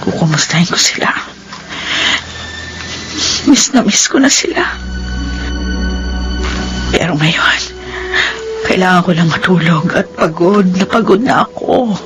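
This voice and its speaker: A woman's voice: fairly low pitch, expressive, unhurried, long pauses, over music. An actress playing a tired, homesick mother who works abroad as a nanny, sighing to herself plaintively in a radio drama. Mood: longing. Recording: muffled, clearly noisy radio drama recording.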